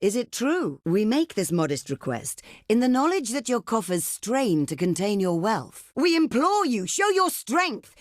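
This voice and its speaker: A woman's voice: a little high, sing-song, unhurried, few pauses, no echo, clean studio sound. A woman playing a proud, imperious ancient desert queen for a video game, speaking in a regal, theatrical, declamatory way. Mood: formal request. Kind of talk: video game diplomacy voice lines.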